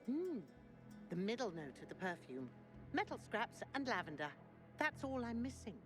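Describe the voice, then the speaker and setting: A eccentric middle-aged perfumer in a game. Her pitch swings freely as she savours each word with dreamy, theatrical grandeur, music playing clearly behind her. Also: very animated, normal speed. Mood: enthusiastic. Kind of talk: video game cutscene acting.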